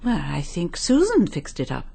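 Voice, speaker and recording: A poised, helpful English lady of good class in a radio mystery. Her British-accented voice is lowish and a little husky, with a lively melody. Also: moderate pace, heavily acted. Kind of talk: radio mystery drama acting.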